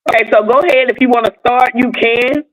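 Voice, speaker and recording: A woman chats on a live stream. She is easygoing and unhurried, asking plain, simple questions as she keeps an eye on the chat. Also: a little high, low-quality recording. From North Carolina. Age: 50s. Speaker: guest co-host.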